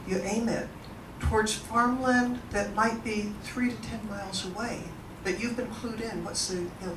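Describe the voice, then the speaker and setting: A woman moderating an in-person event, talking to the audience in a chatty, enthusiastic way and introducing speakers. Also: medium pitch. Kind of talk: informal presentation to an audience. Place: event room. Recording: roomy, distant mic, background noise.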